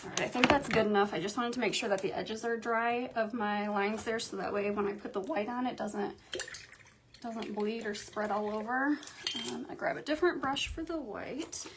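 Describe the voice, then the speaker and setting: Young woman host with a hoarse morning voice, fairly high-pitched, chatty craft-stream delivery, faint noise underneath. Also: moderate pace. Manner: chatty, thinks aloud, frequent ums. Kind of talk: casual crafting livestream chat.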